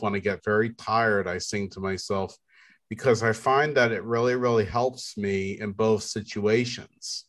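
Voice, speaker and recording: A man with a deep baritone, co-hosting a podcast in slow, rambling casual chat full of fillers and restarts. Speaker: podcast co-host.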